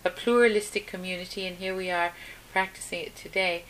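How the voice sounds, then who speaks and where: A woman asks the questions in an easy, encouraging interview. Her voice sounds bright and forceful at a middling pitch. A faint noise sits behind her. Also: fairly even intonation, a little fast, poor audio. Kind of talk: casual conversational interview.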